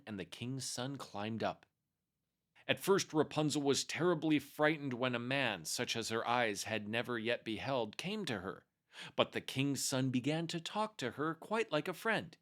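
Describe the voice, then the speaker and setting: A man narrating a fairy tale aloud as a storyteller, expressive and measured in his delivery. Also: medium pitch.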